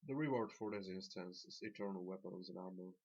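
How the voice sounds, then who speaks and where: A man with a Slovak accent giving halting, plain-spoken, unscripted commentary as he guides viewers through a video game. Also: sits low, a little mellow, slightly rough. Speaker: gamer presenting a dungeon tanking guide. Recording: dull lo-fi voice track.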